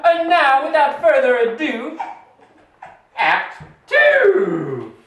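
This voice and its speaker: A playful announcer hosts a children's puppet show with plenty of exclamations and coaxing. The delivery is slow and swoops up and down. The recording is distant and echoey. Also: sits high, wildly swooping pitch, a bit bright. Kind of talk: playful announcing to a small family audience. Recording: distant echoey home recording, faint noise.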